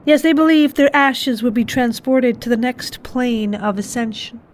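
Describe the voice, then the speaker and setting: A woman's voice: fairly high pitch, fairly even intonation, unhurried, slight background noise, natural voice. A woman playing a formal, knowing hostess of a haunted mansion in a horror audio drama. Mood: knowing, sinister. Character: poised, ominous mansion hostess, the host's wife.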